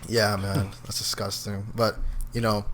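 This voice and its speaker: A young man co-hosting a casual podcast, telling animated stories full of slang and laughter. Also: fairly low pitch, unhurried, a little hiss or hum. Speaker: podcast co-host. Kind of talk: casual chat.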